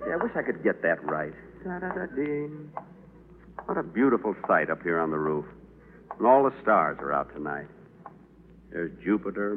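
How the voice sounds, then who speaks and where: A bumbling angel on an old-time radio show, dryly polite and a little smug, his voice riding up and down across a very wide range. The sound is thin and boxy, like a narrow radio band under clear hiss. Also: deep voice. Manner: dry, politely smug wit, then panicky. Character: mild, bumbling male angel sent to earth. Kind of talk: 1940s radio acting. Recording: scratchy old radio broadcast.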